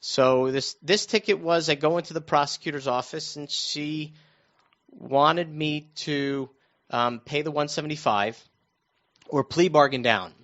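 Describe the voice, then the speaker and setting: Dull-sounding American man with a higher-pitched voice. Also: unhurried, a bit bright. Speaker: radio show panelist recounting his own news. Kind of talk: casual conversational storytelling.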